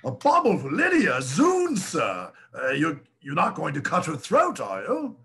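An actor playing a blustering old gentleman with a gouty temper, chuckling and quick to anger in a stage play. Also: fairly high pitch, huge pitch swings, heavily acted. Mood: suspicious.

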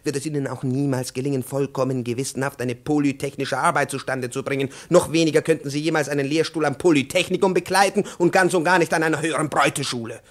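A man's voice: fairly high pitch, steady tone, fast, hardly any pauses, lightly acted. Guy acting a stuffy irritable old-school professor, pedantic lecturing, fifties radio drama. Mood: stern lecturing. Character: pompous, irritable male private professor. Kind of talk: 1950s German radio play acting.

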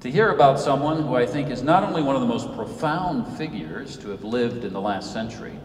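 A man in his fifties gives an earnest lecture from a podium, his even-pitched voice carrying through a long church reverb. The mic is some distance away and a faint hiss sits under him. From Senegal. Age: 50s. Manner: measured, earnest, rises and falls for emphasis. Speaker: guest speaker, author. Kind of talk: public lecture. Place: large church sanctuary. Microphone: podium mic, recorded from far off.

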